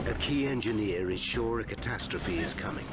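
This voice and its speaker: A man's voice: on the low side, animated, normal speed. A British man reading dramatic scripted narration for a documentary. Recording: loud music and effects behind.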